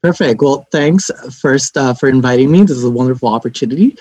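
A young man from Lebanon, a guest on a podcast, answering politely and in a measured way, with some "uh" and "um". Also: mid-range voice, a little mellow. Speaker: podcast guest, research coordinator. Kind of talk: interview answers. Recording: dry, dull lo-fi remote mic.

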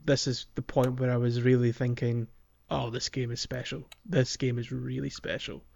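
A man's voice: medium pitch, little pitch movement, moderate pace, creaky, a little mellow. A man hosting a podcast in a casual, hedging, conversational way about video games. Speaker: podcast host. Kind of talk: casual video game discussion. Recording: dull lo-fi microphone.

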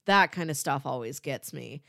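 A woman's voice: extremely low pitch, lively intonation, normal speed, gravelly, sharp tone, no room sound, studio quality. A woman co-hosting a podcast, talking in a casual, conversational way. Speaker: podcast co-host. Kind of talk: casual chat.

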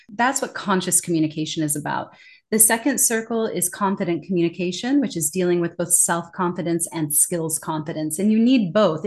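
A woman talking in a conversational interview as a communication trainer, animated and emphatic, laughing often. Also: fairly low pitch.

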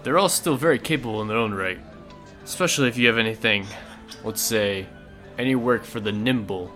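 Man playing a low-voiced, easygoing sailor. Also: brisk, bright, forceful tone, faint music behind. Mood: easygoing, explanatory. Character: seasoned sailor man, friendly, frank, easygoing.

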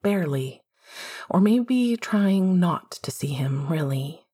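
A woman narrates fiction in a lowish voice with a husky edge. She reads scripted prose as an audiobook storyteller. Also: animated, slow, dark tone.

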